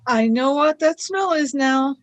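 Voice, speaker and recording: Woman voicing nervous young companion, high voice, lively, slow, radio play. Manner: wondering, anxious questions. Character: Vicky, young female companion, eager and nervous.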